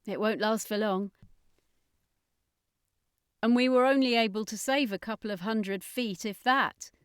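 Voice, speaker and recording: A woman's voice: mid-range voice, measured pace, smooth, no echo, studio quality, natural voice. A woman voicing a grim female magician in an adventure story, bleak yet resolute. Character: grim, pessimistic female magician in a space circus.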